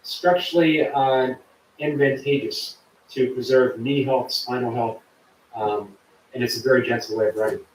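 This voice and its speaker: A man's voice: medium pitch, steady tone, normal speed, roomy sound, recorded from a distance, slight background noise, poor audio. A man hosting a live stream, chatting casually and reading viewer comments aloud. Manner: chatty, warm to viewers, reads chat aloud. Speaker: livestream host.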